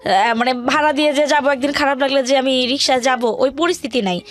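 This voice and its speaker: A young Bangladeshi woman speaks in a high voice as a guest recounting her hardships. She talks colloquially and at length, wandering a little and repeating her phrases as she goes. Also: fast. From rural Bangladesh. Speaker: guest telling her life story. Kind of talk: personal hardship story in an interview.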